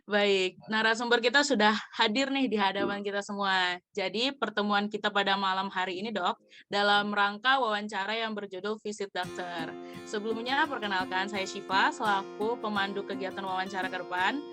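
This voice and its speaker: Young woman moderator, bright voice, polite and organized, dry close mic. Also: mid-range voice, steady tone, moderate pace, no echo, soft background music. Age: young adult. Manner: polite, structured, with light filler words. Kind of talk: formal event moderation. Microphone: video call.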